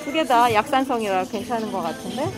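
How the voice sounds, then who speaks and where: A woman's voice: a little high, quick pace, poor audio. A middle-aged woman narrating a casual travel vlog. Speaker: vlogger. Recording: loud background music over phone video. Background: music, some vehicle noise.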